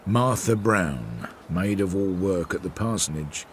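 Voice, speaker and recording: An actor playing a reflective investigator who narrates his case in a radio drama, measured, wry and confiding. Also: low-pitched, expressive, a little hiss or hum. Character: reflective male investigator narrating his inquiry, working man.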